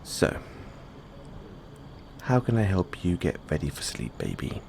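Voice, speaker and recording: Casting: affectionate, teasing boyfriend, acted by a man, lowish voice, unhurried, for intimate bedtime roleplay. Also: sing-song, vocal fry, a little mellow, a little hiss or hum, heavily acted. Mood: affectionate, soothing.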